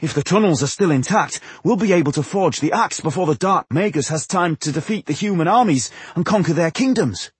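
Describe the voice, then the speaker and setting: A man acts a young dwarf hero from a fantasy storybook, earnest and eager. The voice is raised well above his narrating pitch and very husky. It swings with urgent, impassioned emphasis. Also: animated, normal speed, hardly any pauses, no room sound. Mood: excited.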